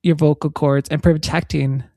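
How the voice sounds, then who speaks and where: A woman's voice: deep voice, fairly even intonation, moderate pace, vocal fry, dark tone, dry recording, studio quality. A woman co-hosting a podcast, chatting casually and explaining things with examples. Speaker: podcast co-host. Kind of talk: casual chat. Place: recorded in Texas.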